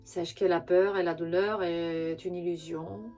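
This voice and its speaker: A woman who is not a native French speaker gives an intuitive tarot reading straight to the listener, halting as she searches for words. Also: fairly low pitch, fairly even intonation, mellow, faint music behind. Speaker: spiritual tarot reader and channel host.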